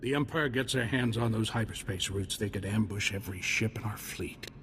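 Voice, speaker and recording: An actor playing a hearty Republic military officer in a video game, talking in a folksy, emphatic, commanding way. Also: male voice, fairly low pitch, fairly quick, a bit bright, natural voice. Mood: grave, emphatic. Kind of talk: scripted video game dialogue. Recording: long reverb, faint noise and ambience.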